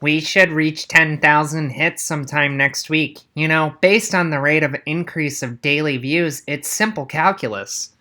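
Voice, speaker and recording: A brainy, nonchalant schoolboy, put on by a woman reader, matter-of-fact about everything. The voice drops low yet stays bright, in storybook style. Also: measured pace, few pauses.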